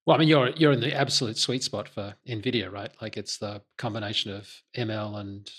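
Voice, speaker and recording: A man's voice: on the low side, steady tone, brisk, a bit bright, breathy edge. A man hosting a podcast interview in a casual, informal way, thinking aloud and rephrasing his questions as he goes.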